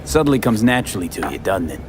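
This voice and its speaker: A man's voice: deep voice, very animated, fast talker, noisy background. An actor playing a tough, skeptical male mercenary in a video game, with a dry, laconic and clipped delivery. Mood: pointed. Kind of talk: cinematic game dialogue.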